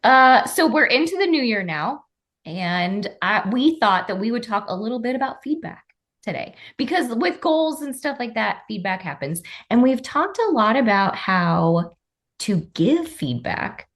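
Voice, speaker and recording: A woman's voice: a little high, sing-song, a little slow, a bit bright. A woman hosting a workplace podcast, leading a casual chat with friendly, self-deprecating remarks. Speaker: podcast host.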